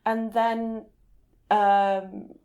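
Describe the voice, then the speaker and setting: A woman's voice: mid-range voice, little pitch movement, mellow, muffled recording. Thoughtful woman explaining as an interview guest. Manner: thoughtful, explanatory, halting phrasing with repeated words. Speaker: guest, literary translator.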